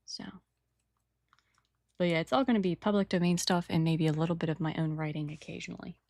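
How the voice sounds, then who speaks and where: Woman, raspy, lowish voice, rambling casual chat, home desk mic. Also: steady tone, a little fast, a little mellow, dry recording, studio quality. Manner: rambling, apologetic, trails off mid-sentence. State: early-morning raspiness. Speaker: hobby painter and channel host. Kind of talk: casual talk while painting a model. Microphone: new desk microphone without filters.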